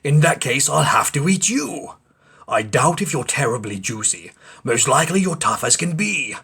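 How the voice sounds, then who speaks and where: A man voicing a greedy, bullying rat bandit in a storybook, with scornful, bellowed, drawn-out commands. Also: mid-range voice, sing-song, creaky, bright, forceful tone, slightly rough, full character voice. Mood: menacing, scornful. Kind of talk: storybook character voice.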